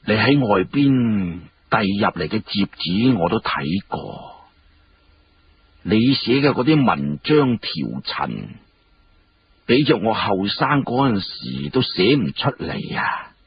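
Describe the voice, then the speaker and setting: A man voicing a dignified old chancellor in his seventies in a Cantonese story, measured and savouring his words. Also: fairly low pitch, wildly swooping pitch, husky, lo-fi sound, voice pushed down, full character voice. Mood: approving.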